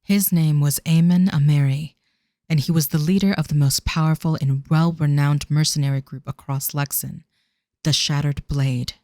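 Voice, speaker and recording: A man's voice: a little high, fairly even intonation, moderate pace, mellow, no room sound, clean studio sound. A man reading scripted narration for an audio drama as its storyteller.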